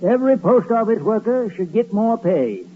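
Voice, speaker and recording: An actor playing a dour, terse rustic farmer, deadpan, in 1940s radio comedy style. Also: male voice, high-pitched, normal speed. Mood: deadpan, dry. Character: dour rustic New England farmer, dry and gloomy. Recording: tinny, noisy old radio broadcast.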